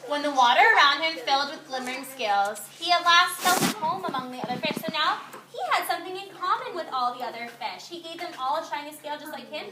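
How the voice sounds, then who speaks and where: A woman's voice: sits high, lively intonation, quick pace, hardly any pauses. A woman teacher reading a storybook aloud to young children in a classroom, using simple, emphatic phrasing and putting questions to pupils. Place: indoor classroom. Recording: echoey noisy room, distant microphone, clicks.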